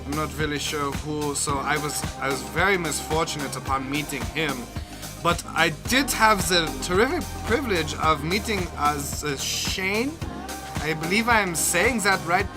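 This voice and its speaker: A man's voice: on the high side, moderate pace, music in the background, lightly acted. A man voicing a lewdly earnest German DJ with stilted, formal phrasing and a German accent in an improvised comedy monologue. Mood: formal, deadpan.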